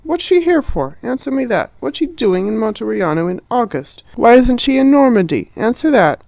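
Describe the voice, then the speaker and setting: A woman's voice: mid-range voice, moderate pace, a little mellow, dry recording, voice pushed up, lightly acted. A man voicing an agitated, indignant sister who scolds in hysterical bursts. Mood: shrill, indignant.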